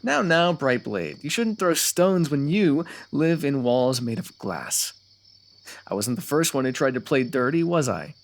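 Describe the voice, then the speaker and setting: A man performs a confident male fantasy noble, a former rival who has become an ally. His mid-pitched voice has a gravelly creak, and he speaks formally and wordily, always playfully goading, in the manner of an ASMR roleplay. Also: animated, a little slow. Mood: playful, teasing.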